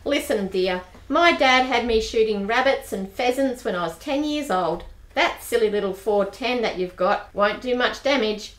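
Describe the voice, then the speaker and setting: Woman doing a bossy forty-something spinster, brisk and no-nonsense, lively pitch, echoey room. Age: 40s. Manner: brisk, bossy, matter-of-fact. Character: bold forty-something spinster bank clerk. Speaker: radio play actor. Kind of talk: amateur radio play acting.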